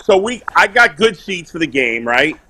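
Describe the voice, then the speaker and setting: A man calling in to a sports talk show, loud, blunt and opinionated, bantering casually over a video call. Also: on the high side, very animated, moderate pace, a little hiss or hum. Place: remote video call while on vacation.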